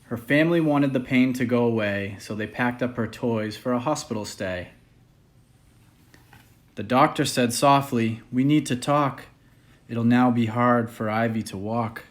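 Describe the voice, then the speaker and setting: A man with a medium-pitched voice reading a children's picture book aloud. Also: a little slow. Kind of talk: read-aloud children's story.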